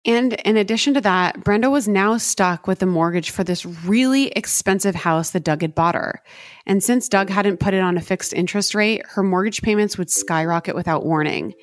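A woman co-hosting a true crime podcast, telling the case in a steady, earnest way. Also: mid-range voice, moderate pace, no room sound, studio quality. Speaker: podcast co-host.

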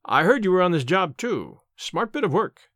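A man voicing a cheerful, cocky little police inspector in an audiobook, brisk, informal and opinionated. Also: high-pitched, big pitch swings, a bit bright, dry recording, studio quality. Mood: cheerful, confident.

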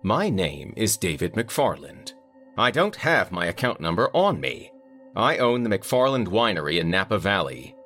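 A man voicing an irate, wealthy male winery owner who blusters and booms his complaints. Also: sing-song, normal speed, a bit bright, faint music behind. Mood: grudging. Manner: angry, blustering, booming.

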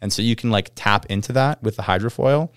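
Podcast guest: Canadian man, lowish clean voice, informal enthusiastic explainer, dry recording. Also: brisk, clear, resonant tone, no room sound. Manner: surfer slang, informal. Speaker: podcast guest, pro snowboarder. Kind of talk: casual chat, enthusiastic explaining.

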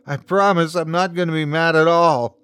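A man's voice: on the high side, big pitch swings, normal speed, dry recording, voice pushed up. Guy doing a scared worn-out young man begging, wavery and raised, audiobook drama. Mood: tearful pleading. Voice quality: faint, wavering, sob-like. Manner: pleading, exhausted. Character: weak, frightened young man pleading to be let inside.

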